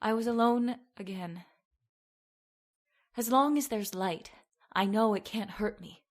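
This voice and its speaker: An actress playing a haunted young woman recounting a terror in old-time radio horror style, halting and dramatic. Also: medium pitch, natural voice. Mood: tense, fearful.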